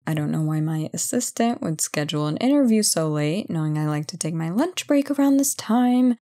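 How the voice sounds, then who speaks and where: A woman playing a brisk, playful company boss in an audio roleplay job interview, chatty and full of wry asides. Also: on the low side, animated, warm tone, natural voice. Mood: cranky, apologetic. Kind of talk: ASMR roleplay, one-sided conversation.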